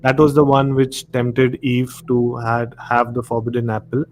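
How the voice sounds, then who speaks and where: A man's voice: medium pitch, monotone, a little slow, darkish tone. A man with an Indian accent speaking casually as the host of a live podcast discussion. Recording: clearly noisy live-stream audio.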